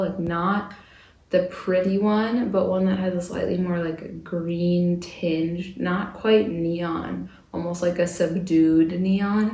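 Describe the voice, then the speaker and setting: A woman's voice: lowish voice, mellow. A young woman in her twenties, talking through fashion trend picks in a chatty vlog while recovering from a cold. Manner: chatty, lots of "like" fillers. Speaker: fashion vlogger. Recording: echoey room.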